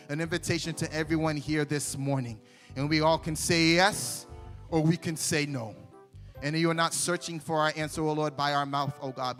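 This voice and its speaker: A man's voice: a little high, a bit bright. A man leading a fervent congregational prayer in a church sanctuary, punctuating each phrase with "oh God" and "oh Lord". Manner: repeats "oh God" between phrases, rising and falling intensity. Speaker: worship leader praying.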